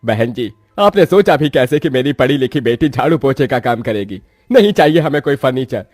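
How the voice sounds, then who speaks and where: A man's voice: mid-range voice, expressive, a little fast, darkish tone, dry recording, studio quality, voice pushed up, close to an everyday voice. A man voicing a proud, indignant father of a poor family in a cartoon moral story, over music. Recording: music behind the voice.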